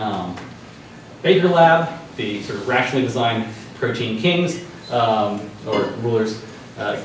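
A man's voice: mid-range voice, far from the mic, a little hiss or hum. Young man talking in an echoey hall. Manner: loose and conversational, many ums and restarts. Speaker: presenter. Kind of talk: informal science news talk. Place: dark lecture room with a projector. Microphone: far room mic.

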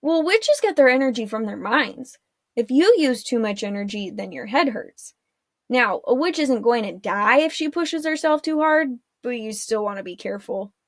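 A woman doing a wry, calm teenage warlock boy. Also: on the high side, normal speed, no echo, studio quality.

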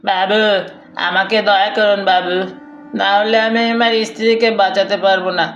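A man's voice: high-pitched, steady tone, moderate pace, fairly bright tone, muffled recording, soft background music. A man voicing a humble, desperate poor sharecropper in a cartoon, deferential and pleading. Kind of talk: cartoon story acting.

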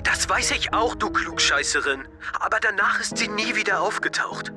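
A man's voice: sits high, animated, moderate pace, over music. An actor playing a blunt, defensive teenage skater boy in an audio drama, speaking matter-of-factly.

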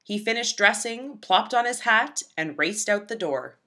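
Bright, animated woman reading a storybook. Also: mid-range voice, unhurried. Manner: animated, dramatic storytelling with emphasis. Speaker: children's storybook reader. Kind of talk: expressive scripted read-aloud narration for young children.

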